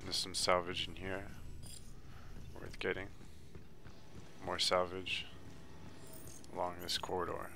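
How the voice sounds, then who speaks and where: A man's voice: low voice, monotone, takes it slowly, vocal fry, pauses often, background noise. A man giving a casual, matter-of-fact walkthrough commentary on a video game. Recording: game music behind the voice. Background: video game music.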